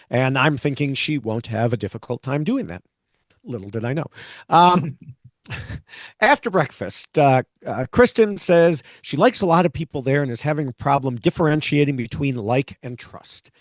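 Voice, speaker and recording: A man's voice: mid-range voice, moderate pace, no room sound, studio quality. A man hosting a podcast, recapping episodes and chatting with a co-host. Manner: lively storyteller, laughs often.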